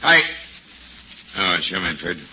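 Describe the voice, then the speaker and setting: An actor playing an impatient police lieutenant in an old radio drama. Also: male voice, deep voice, very animated, slow pace, pushed and bright, muffled recording, a little hiss or hum, exaggerated voice. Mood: brusque. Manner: brusque, snappish. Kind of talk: 1940s radio acting.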